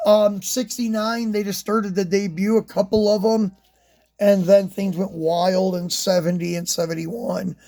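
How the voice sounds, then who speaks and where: A slightly hoarse man with a high-pitched voice talks casually. He rambles in a relaxed way, trailing off with ums and restarts as he hosts his hobby channel. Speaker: die-cast collector channel host. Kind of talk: casual unboxing and review talk.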